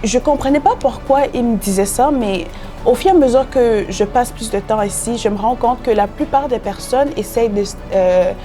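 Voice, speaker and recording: A woman's voice: fairly high pitch, normal speed, warm tone, faint music behind. A young woman from Cameroon, a singer-songwriter guest, talking reflectively in a casual interview with many fillers and restarts. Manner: reflective, frequent fillers and restarts, laughs easily.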